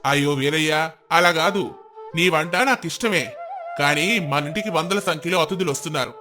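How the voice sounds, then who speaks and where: A generous, lordly village landlord, voiced by a man speaks with kindly authority. His storybook voice sits fairly high, over light music. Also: normal speed, lightly acted. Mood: placating, firm.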